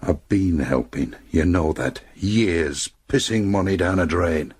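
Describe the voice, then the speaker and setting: An actor playing a bitter, quick-tempered businessman in a radio drama, scornful and threatening. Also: sits low, darkish tone, slightly rough. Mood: contemptuous.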